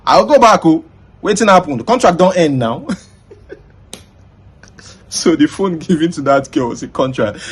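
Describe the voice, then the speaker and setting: Nigerian male vlog host, lively pitch, chatty, laughs easily. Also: breathy edge. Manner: chatty, laughs often, addresses viewers as guys. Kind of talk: casual commentary vlog.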